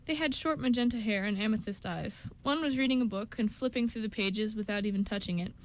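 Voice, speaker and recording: A woman's voice: medium pitch, a little fast, poor audio. A woman reading scripted story narration for an amateur radio show, with an occasional stumble.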